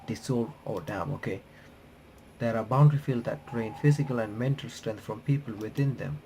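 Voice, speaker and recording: A man doing a casual visual novel playthrough, reading the on-screen text aloud in a halting, stumbling way and reacting as he goes. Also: medium pitch, warm tone, slight background noise. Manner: halting, stumbling reader who rereads phrases.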